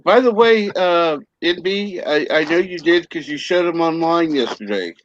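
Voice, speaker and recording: A man's voice: on the high side, lively intonation, normal speed. A man chatting on a live stream in an easygoing way and greeting viewers. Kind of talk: casual live-stream chat. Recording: dry, muffled sound.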